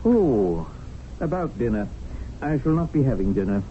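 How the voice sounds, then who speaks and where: An actor playing a proper gentleman hunter of the Raj in an old-time radio drama, clipped, formal and matter-of-fact. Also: medium pitch, very animated, moderate pace, close to an everyday voice. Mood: polite, unruffled. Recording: muffled old radio broadcast, faint noise.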